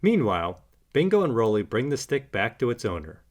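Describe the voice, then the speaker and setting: A man narrating a children's storybook aloud in an animated read-aloud style. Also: medium pitch, fairly quick. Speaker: storybook reader.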